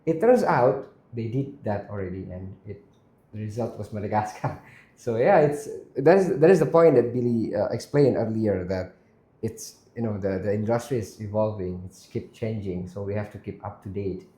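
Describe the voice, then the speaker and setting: Mellow man in a roomy space. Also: lowish voice, lively intonation. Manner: halting, repeats phrases, checks with "right?". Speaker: interview host. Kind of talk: casual interview. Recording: roomy, echoing sound.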